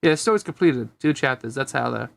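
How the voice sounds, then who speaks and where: Animated man reading fan fiction casually. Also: mid-range voice, fast talker, vocal fry. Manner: breaks off into self-commenting asides, chuckling. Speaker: amateur fan fiction reader. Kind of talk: casual read-aloud of a story with asides.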